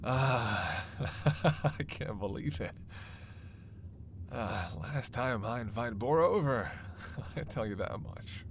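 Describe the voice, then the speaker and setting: A man's voice: medium pitch, lively intonation, slow pace, slight background noise. A man playing a witty, flirtatious, weakened male vampire in an intimate audio roleplay, with playful, sardonic delivery. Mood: stunned, wry. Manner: playful, sardonic, murmuring asides and sighs.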